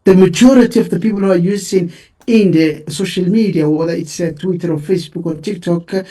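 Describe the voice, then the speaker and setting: A man whose first language is Somali talks in an interview, hesitating often and restarting his sentences. His voice sits fairly high with a mellow, dark tone. He sounds a little distant from the microphone. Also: fairly quick. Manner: hesitant, many fillers and restarts. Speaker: researcher guest. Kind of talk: interview discussion.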